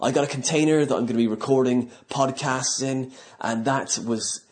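A man's voice: mid-range voice, normal speed. A man hosting a podcast, talking informally in a chatty, rambling way. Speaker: podcast host and comedian. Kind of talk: casual spoken podcast introduction and chat.

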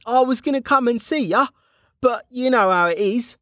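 A narrator voicing Kettle, a rough, cheeky male guard who talks in brash slang. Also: extremely high pitch, normal speed, sharp tone, no echo, studio quality, voice pushed up.

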